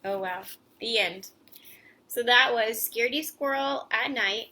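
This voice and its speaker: A woman with a very bright, fairly high voice hosts story time for children. She reads expressively from a picture book and keeps stepping out of the text to chat and explain things simply to young listeners. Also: unhurried. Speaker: host and children's story reader. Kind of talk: animated picture-book read-aloud with casual asides for children.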